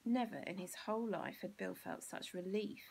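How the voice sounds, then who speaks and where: An English woman reads a children's story aloud with expression. Her voice sits at a medium pitch, with a husky grain and noticeable creak at the edges. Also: moderate pace, a little mellow, poor audio.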